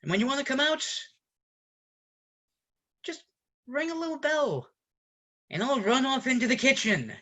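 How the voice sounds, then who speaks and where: A man playing an exasperated roommate ranting at his fussy friend in a deliberate theatrical monologue with dramatic pauses. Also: very high-pitched, lively intonation, sharp tone, exaggerated voice. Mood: pleading, fed up. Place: online video call performance.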